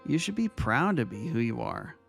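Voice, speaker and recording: A man playing a kind high school vice-principal in an audio story, speaking with earnest, measured reassurance. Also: sing-song, gravelly, a bit bright, soft background music. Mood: gentle, reassuring. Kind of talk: dramatic fan fiction audio reading.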